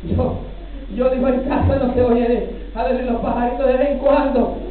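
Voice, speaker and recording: A man's voice: very high voice, level tone, normal speed, background noise. A middle-aged man, a New York-born Puerto Rican pastor, preaching a fervent testimony at a church pulpit, peppering his Spanish with hallelujahs and bits of English. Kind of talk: Pentecostal sermon testimony. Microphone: handheld mic, recorded from far back. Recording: distant, echoey, tinny church recording.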